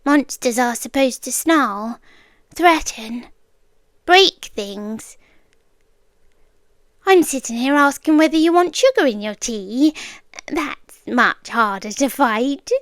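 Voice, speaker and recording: A British woman playing an obsessive, gently menacing girlfriend in an intimate ASMR roleplay, speaking in a hushed, deliberate way that often slips into whispers, close to the microphone. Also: high-pitched, lively intonation, no room sound, full character voice. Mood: wistful, unsettling. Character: soft-spoken obsessive yandere girlfriend, gently menacing captor. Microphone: close microphone, dry.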